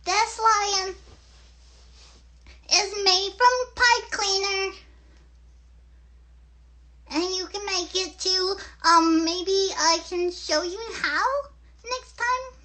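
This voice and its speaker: A woman voicing an excitable, easily distracted little girl puppet who hosts a children's show, chattering haltingly and interrupting herself. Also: very high-pitched, exaggerated voice. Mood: chatty, explaining. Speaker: puppet host.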